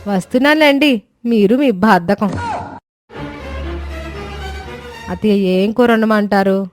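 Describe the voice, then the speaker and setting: A woman acts out a clever young daughter-in-law in a cartoon family tale. She speaks at medium pitch, politely but with cheeky, scheming intent, over faint music. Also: moderate pace, close to an everyday voice. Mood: coaxing.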